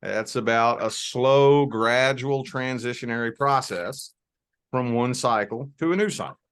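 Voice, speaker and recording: A man's voice: mid-range voice, a bit bright, smooth. Folksy man with a Southern drawl. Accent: Southern US (Kentucky border). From Southern Indiana near Louisville, Kentucky. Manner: folksy, reassuring, drawn-out emphasis. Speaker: guest, tarot reader. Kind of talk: spiritual conversation and tarot reading.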